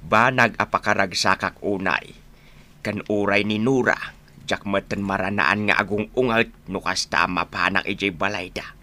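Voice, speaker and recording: A man's voice: fairly low pitch, fairly even intonation, moderate pace, bright, forceful tone. An actor playing a jovial village father in a radio comedy-drama. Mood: pleased. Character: jovial village father, chatting with his in-law.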